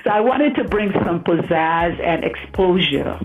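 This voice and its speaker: A woman's voice: lowish voice, expressive, measured pace, background noise. A woman from Nigeria, a guest in a casual radio interview, talking with enthusiasm and many fillers and restarts. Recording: tinny phone-line sound with faint music.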